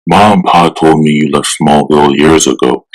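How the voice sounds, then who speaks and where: A man's voice: a bass voice, steady tone, normal speed, low-quality recording. An actor playing an earnest, troubled superhero searching for his origins, in a dramatic motion comic style. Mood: bewildered, troubled. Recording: loud music behind the voice. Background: dramatic music.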